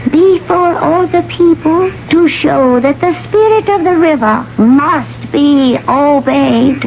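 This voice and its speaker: A solemn old priestess of a river spirit intones her warnings in a slow, archaic chant, her voice set high. It sounds like a 1970s radio play, muffled, with music underneath. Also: a little hiss or hum. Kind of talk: 1970s radio drama acting.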